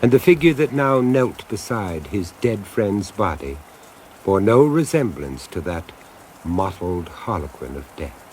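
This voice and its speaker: A man's voice: deep voice, very animated, measured pace, a little mellow, slight background noise, poor audio. An older man narrating a horror radio drama in a grave, relishing tone.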